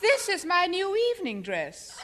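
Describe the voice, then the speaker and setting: An actress playing a bossy, impatient, brisk woman in a 1950s radio sitcom. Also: very high-pitched, huge pitch swings, a bit bright, a little hiss or hum, heavily acted. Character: bossy, impatient woman hurrying the men along.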